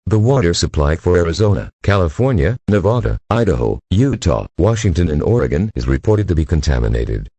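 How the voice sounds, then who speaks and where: A man's voice: sits low, sing-song, a little fast, few pauses, warm tone, husky, no room sound, exaggerated voice. A male voice as an automated emergency alert announcer, formal and stilted, reading a scripted broadcast warning. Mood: formal, urgent.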